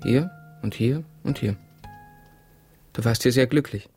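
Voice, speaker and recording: An actor in a radio drama playing a gentle, patient husband, soft-spoken, careful and reassuring. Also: male voice, mid-range voice, a little slow, soft background music, lightly acted.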